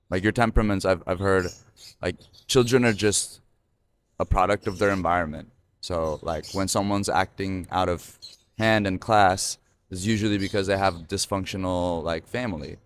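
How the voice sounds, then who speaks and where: A man's voice: deep voice, a little hiss or hum. A man in his thirties hosting a podcast, chatting loosely with lots of fillers and restarts. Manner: rambling, many fillers and restarts. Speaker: podcast host. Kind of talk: casual conversation.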